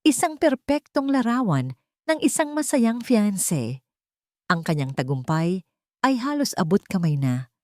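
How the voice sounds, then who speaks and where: A woman narrating a scripted romantic drama story in short, measured, dramatic phrases. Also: mid-range voice, very animated, darkish tone, no echo, studio quality.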